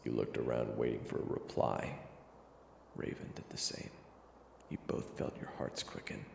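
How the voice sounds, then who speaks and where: A man narrating a scripted second-person story in a hushed, suspenseful manner. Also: a bass voice, steady tone, moderate pace, creaky, echoey room, in a large hall, a little hiss or hum.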